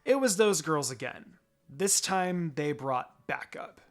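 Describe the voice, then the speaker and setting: A man's voice: a little high, animated, slow. A man reading fan fiction aloud as a dramatic first-person story. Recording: music behind the voice. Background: background music.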